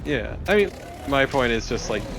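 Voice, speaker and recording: A man's voice: medium pitch, animated, normal speed, fairly bright tone, a little hiss or hum. A man chatting casually as a co-commentator on a gameplay video. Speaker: let's play co-commentator. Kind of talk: casual chat. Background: video game music.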